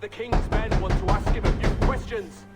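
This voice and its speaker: Casting: man playing gruff king's guardsman, very high strained male shout, loud music behind, game drama. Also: steady tone, normal speed, natural voice. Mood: menacing. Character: gruff, bullying male Saxon soldier of the king.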